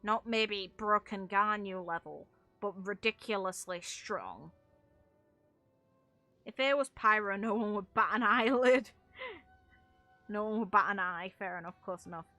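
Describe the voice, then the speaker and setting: A woman's voice: mid-range voice, unhurried, pauses often, pushed and bright, no echo, faint music behind. A woman giving casual, opinionated game commentary, speaking bluntly and informally as a video creator. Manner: blunt, informal, mild swearing. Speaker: gaming video creator and streamer.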